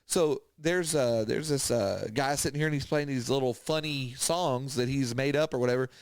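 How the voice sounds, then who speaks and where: Animated man swapping stories, dry recording. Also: mid-range voice, normal speed, smooth, dry recording. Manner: animated retelling with acted-out quotes, swearing. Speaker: podcast host. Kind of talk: casual chat, anecdotal storytelling.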